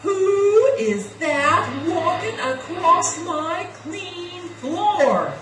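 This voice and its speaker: Cranky, drawn-out scolding cleaning lady by a storyteller. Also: very high-pitched, expressive, loud music behind the voice, voice pushed up, heavily acted. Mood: angry. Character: cranky, booming house-proud woman cleaner. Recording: echoey, distant, faintly noisy room.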